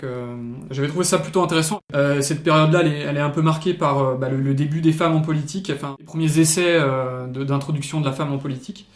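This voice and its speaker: A man co-hosting a casual discussion show, talking through his research with many fillers and restarts. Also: fairly high pitch. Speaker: podcast co-host. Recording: echoey room.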